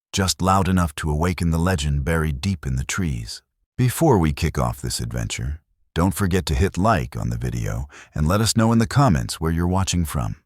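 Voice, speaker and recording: A man with an extremely deep voice tells a frontier story in a scripted, dramatic style. He sets out short phrases with deliberate weight. The recording is dry and close, with no room sound. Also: no room sound. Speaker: storyteller, channel narrator. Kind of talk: scripted dramatic narration of a Western tale.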